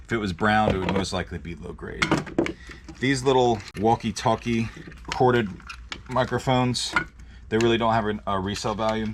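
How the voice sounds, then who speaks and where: Male demo host, lowish voice, plain-spoken and casual, explaining as he works, with noticeable background noise and clicks. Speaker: host of an e-waste teardown video. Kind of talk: casual hands-on demonstration talk to camera.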